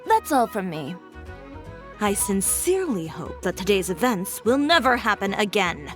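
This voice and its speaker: A woman's voice: mid-range voice, very animated, normal speed, music in the background, close to an everyday voice. A woman playing a blunt, composed young businesswoman in a video game, wry and matter-of-fact. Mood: unrepentant, wry. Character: cool, self-assured, blunt young businesswoman, protective of friends. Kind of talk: video game character acting.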